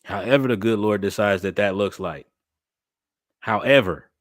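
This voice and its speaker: A young man, talking to his livestream chat in an animated, preacherly way. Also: fairly low pitch, normal speed, no room sound, studio quality. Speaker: streamer and podcast host.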